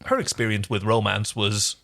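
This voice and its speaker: A man's voice: fairly low pitch, normal speed, vocal fry, a bit bright. A man co-hosting a podcast, chatting casually as he recaps a TV episode. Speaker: podcast co-host.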